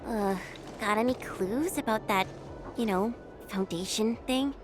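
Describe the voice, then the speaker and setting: A woman playing a casual, inquisitive young teammate in a video game, speaking in an informal, expressive way. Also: on the high side, measured pace, close to an everyday voice. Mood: curious. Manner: informal, animated. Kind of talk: video game story voice acting. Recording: prominent music behind the voice.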